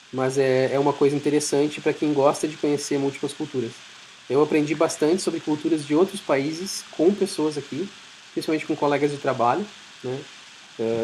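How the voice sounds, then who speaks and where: A man's voice: mid-range voice, a little mellow, slight background noise, poor audio. A man with a Brazilian Portuguese accent giving an informal, explanatory talk in a conversational way. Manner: conversational, explanatory, acts out short imagined dialogues. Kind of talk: informal presentation.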